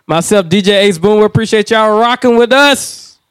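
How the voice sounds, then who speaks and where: High-pitched, smooth-voiced man hosting. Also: moderate pace, dry recording, clean studio sound. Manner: hyped, slangy, profane. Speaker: podcast host and DJ. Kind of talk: casual hype banter.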